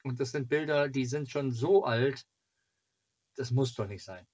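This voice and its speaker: Halting, slightly husky man chatting casually. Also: mid-range voice, darkish tone. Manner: halting, rambling delivery. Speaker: fan club video host. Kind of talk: casual chat to camera. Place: small cluttered home room.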